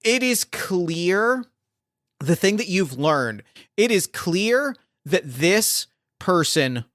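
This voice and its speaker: A man improvising narration as a game master in a tabletop roleplaying game, haltingly thinking aloud as he builds each detail. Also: high-pitched, very animated, a bit bright, dry recording, clean studio sound.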